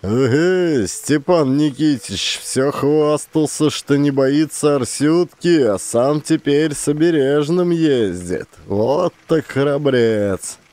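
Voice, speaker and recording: A man's voice: fairly high pitch, animated, slow pace, few pauses, dark tone, pitched above the performer's own voice. A man voicing a swaggering police chief who mocks and gloats. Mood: mocking gloat.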